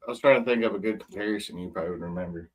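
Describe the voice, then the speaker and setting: A man's voice: deep voice, very fast, husky. A man co-hosting a sports podcast, telling wisecracking stories in casual banter. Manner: wisecracking storyteller. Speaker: podcast co-host.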